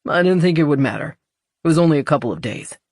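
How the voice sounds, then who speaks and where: A narrator voicing a gruff, dryly amused man, the terse hero of a romance audiobook. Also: mid-range voice, expressive, quick pace, darkish tone, no echo. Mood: brusque. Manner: dry, terse. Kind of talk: audiobook character dialogue.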